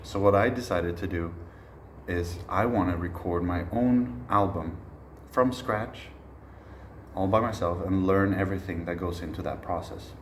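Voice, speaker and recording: Swedish-born guy in his 30s, low voice, talks music in a roomy echoey space, bit of hiss. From Sweden. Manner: relaxed, reflective, frequent "uh" pauses. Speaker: musician teaching a masterclass. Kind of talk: informal spoken lecture.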